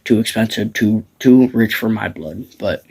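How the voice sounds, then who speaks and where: A man's voice: lowish voice, moderate pace, a little mellow, slightly rough, poor audio. A teenage boy from the Midwest, rambling casually about farms outdoors at dusk, with lots of fillers and restarts. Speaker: farm vlogger.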